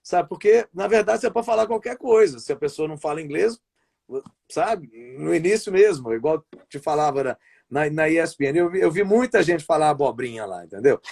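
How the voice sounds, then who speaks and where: Chatty Brazilian man with a higher voice. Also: lively intonation, brisk, gravelly, a little mellow. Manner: rambling, restarts often, tags sentences with "né" and "sabe". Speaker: wrestling commentator and play-by-play announcer. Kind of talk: casual interview chat over a live stream. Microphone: video call.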